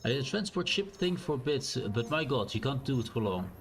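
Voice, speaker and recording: A man with a naturally loud voice and a strained, sore throat, thinking aloud in a rambling way as he streams a game. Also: mid-range voice, big pitch swings, brisk, soft background music. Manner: rambling, thinks aloud with fillers and self-corrections. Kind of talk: casual live-stream gameplay commentary.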